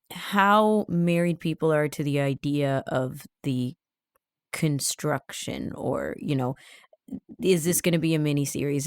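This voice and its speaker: A woman's voice: low voice, clean tone, no room sound, studio quality. A woman hosting an audiobook review show, asking questions in a conversational way. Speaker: interviewer, podcast host.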